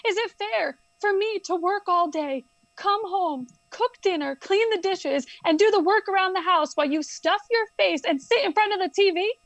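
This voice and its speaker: A woman's voice: extremely high pitch, normal speed, no echo. A woman playing a hurt, resentful wife in a radio drama, reproachful and emotionally strained. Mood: angry, reproachful.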